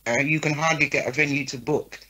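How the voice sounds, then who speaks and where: A woman's voice: deep voice, steady tone, a little fast, bright, forceful tone, low-quality recording. A woman guest on a talk podcast, a show promoter speaking frankly and often checking in with her listener. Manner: frank, lists names, checks in with "yeah?" and "right?". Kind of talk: casual interview talk.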